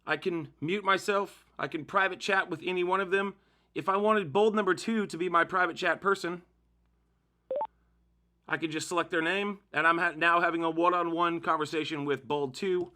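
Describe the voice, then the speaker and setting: Casting: male tutorial host, slightly high pitch, bright forceful tone, informal step-by-step delivery. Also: steady tone, moderate pace. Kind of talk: casual how-to demonstration narration.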